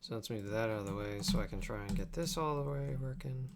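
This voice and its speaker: A man's voice: lowish voice, quick pace. A young man chatting casually on a livestream at his desk, muttering his thoughts aloud as he works. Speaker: live streamer and video editor. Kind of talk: casual livestream chat while working. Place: indoor home desk setup. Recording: clear background noise with keyboard clicks. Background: mouse clicks and typing.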